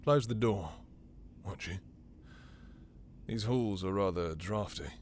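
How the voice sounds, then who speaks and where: A man acting a sadistic, seductive vampire lord in an intimate roleplay audio drama, silky and menacing, with a breathy voice and theatrical pauses. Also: lowish voice, a little mellow, a little hiss or hum. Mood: silky welcome. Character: possessive, sadistic, seductive male vampire lord, taunting his captive.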